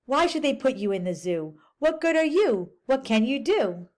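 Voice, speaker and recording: A woman's voice: high-pitched, sing-song, moderate pace, darkish tone, voice pushed up. A woman doing stern storybook zoo folk. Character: stern zoo people turning away a creature.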